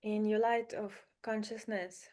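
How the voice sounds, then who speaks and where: A woman leading a spiritual guided meditation in a soothing, unhurried manner, with short phrases, pauses and gentle repetition. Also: medium pitch, level tone.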